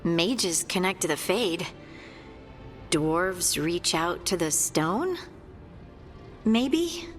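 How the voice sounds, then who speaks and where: Earnest dwarf scout girl from a game, takes her time, hesitant, soft music behind. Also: lowish voice, expressive, very slow, long pauses. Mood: wondering. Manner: hesitant, sincere. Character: earnest, warm young dwarf woman scout. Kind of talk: scripted video game dialogue.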